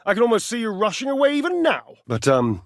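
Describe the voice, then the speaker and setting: An actor playing a vain, athletic talking appliance in a dating game, pompous and petulant, with flamboyant, condescending, wordy delivery. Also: male voice, high-pitched, wildly swooping pitch, quick pace, no echo, clean studio sound, exaggerated voice. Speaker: video game character actor. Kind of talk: theatrical dating-game character acting.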